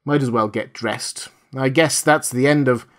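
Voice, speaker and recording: A man's voice: mid-range voice, unhurried, lightly acted. A man playing a startled, indignant husband in an audiobook, exclaiming in bitter disbelief. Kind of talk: dramatised audiobook.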